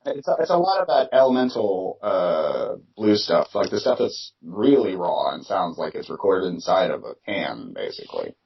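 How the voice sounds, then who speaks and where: A man's voice: sits low, very animated, moderate pace, gravelly, hardly any pauses. Rambling man from Philadelphia, lo-fi. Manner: rambling, many fillers and restarts. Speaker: musician guest. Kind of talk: casual interview chat. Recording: dull lo-fi remote audio.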